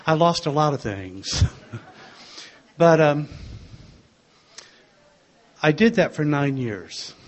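Soft-voiced older man, wry storyteller. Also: mid-range voice. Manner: gentle, wry storytelling with small laughs. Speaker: speaker sharing a recovery story. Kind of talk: personal testimony to a group.

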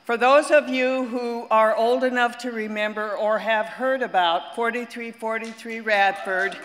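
An older woman with a loud, bright, forceful voice addresses a group. Her words ring with a long hall reverb. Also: a little high, steady tone, measured pace. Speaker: speaker at a recovery meeting. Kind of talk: personal testimony to a group.

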